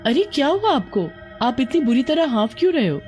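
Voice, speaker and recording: A woman's voice: sits high, big pitch swings, a little fast, darkish tone, faint music behind, voice pushed up. A woman playing a concerned, practical village wife in a dramatized cartoon story. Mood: alarmed. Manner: questioning, domestic, dramatized.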